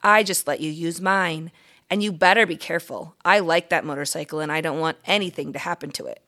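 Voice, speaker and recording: Woman reading as a friendly, slightly bossy storybook boy, creaky. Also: low voice, fairly quick, bright, forceful tone. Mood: scolding. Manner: frank, scolding but good-natured.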